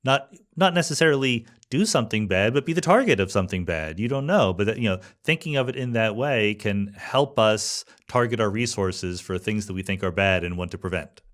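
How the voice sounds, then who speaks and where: A man's voice: lowish voice, lively intonation, fairly quick, few pauses, fairly bright tone. An American man hosting a podcast, asking questions in a thoughtful, informal, conversational way. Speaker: podcast host. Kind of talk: conversational interviewing.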